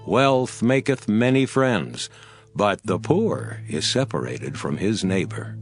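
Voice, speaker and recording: A man reading scripture in a slow, deliberate recitation. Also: fairly low pitch, sing-song. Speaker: scripture reader. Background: faint background music.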